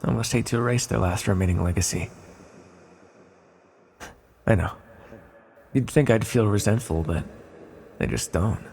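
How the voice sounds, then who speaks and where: Casting: ancient sealed villain male actor, low-pitched and creaky, measured, gently reassuring delivery for intimate audio roleplay. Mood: bittersweet, wistful. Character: ancient sealed villain, male magical being, calm, tender. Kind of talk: ASMR roleplay, scripted monologue to the listener.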